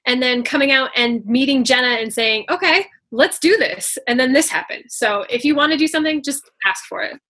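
Young female podcast guest, high pitch, bright forward tone, casual interview talk. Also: a little fast, hardly any pauses. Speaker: podcast guest, physical therapy student.

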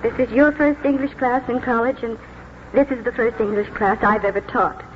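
A woman's voice: a little high, fairly even intonation, moderate pace, slight background noise, close to an everyday voice. An actress in a 1940s radio drama playing an eager, romantic young woman schoolteacher with an earnest, dreamy way of talking. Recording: tinny 1940s radio recording with music.

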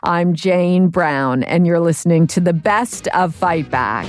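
A woman's voice: fairly low pitch, lively intonation, slow pace, faint music behind. A woman presenting a radio show from a script as its host.

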